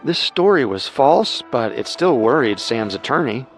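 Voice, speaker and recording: A man's voice: medium pitch, big pitch swings, moderate pace, faint music behind. A man narrating a scripted true-crime story as co-host.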